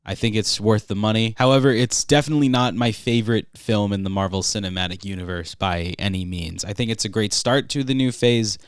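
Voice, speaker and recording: A man's voice: lowish voice, brisk, hardly any pauses, smooth, no echo, studio quality. Congested young man hosting a podcast. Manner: relaxed, filler-heavy, frequent "uh". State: congested from recent illness. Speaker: podcast host. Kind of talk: casual solo podcast review.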